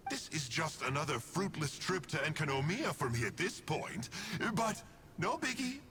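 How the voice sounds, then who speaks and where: A man's voice: on the high side, lively intonation, moderate pace, background noise. A man voicing a sly, self-amused villain with a breezy, mocking, theatrical manner in an anime-style video game. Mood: flippant. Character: sly, flippant, self-amused villain schemer of a dark order. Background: game music.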